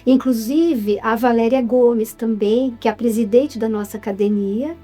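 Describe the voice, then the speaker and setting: Mellow-voiced Brazilian woman guest. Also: a little high, steady tone, moderate pace, soft background music. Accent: Brazilian Portuguese. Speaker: guest, writer and film producer. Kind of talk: casual interview chat.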